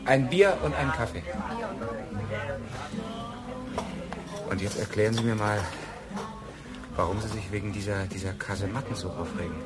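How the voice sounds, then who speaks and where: A man acting in a German audio drama as a fearful, indignant family man under suspicion, halting and repeating his words. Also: fairly low pitch, loud music behind the voice, close to an everyday voice. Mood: irritated, weary. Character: flustered, fearful, indignant male murder suspect and family man.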